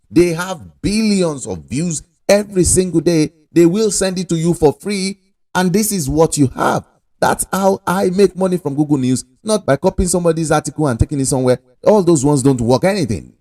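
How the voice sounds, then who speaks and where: Animated man with a mellow dry voice. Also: a little high, no room sound. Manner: animated, talks to the viewer directly, pushy salesman-like emphasis. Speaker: online money-making tutorial host. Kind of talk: casual screen-recording tutorial.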